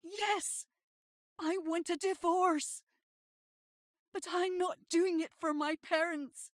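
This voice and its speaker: A woman's voice: very high-pitched, fairly even intonation, slow, no room sound, clean studio sound. A woman voicing an unhappy, reproachful young wife in a visual novel, with stilted, plaintive delivery. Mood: resigned, bitter. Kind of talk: scripted visual novel dialogue.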